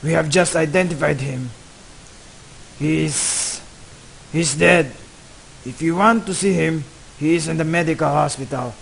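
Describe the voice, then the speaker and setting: A man acts out a stern young police officer breaking terrible news in a radio play. His voice sits a little high, with a gravelly fry and a sharp edge. He speaks formally and gravely, and a soft hiss runs under the recording. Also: measured pace, long pauses, rough recording. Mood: solemn. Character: solemn police officer delivering bad news. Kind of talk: student radio drama acting.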